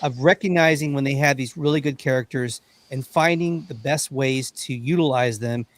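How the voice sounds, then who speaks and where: A man on a casual fan panel, speaking haltingly as he searches for words. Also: medium pitch.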